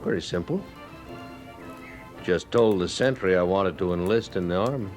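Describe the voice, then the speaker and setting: An actor playing a wry, laconic frontier drifter with a folksy, evasive manner in an old radio western. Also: male voice, sits low, moderate pace, a little mellow, soft background music, close to an everyday voice. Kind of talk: 1950s radio western acting. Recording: old radio recording with faint noise.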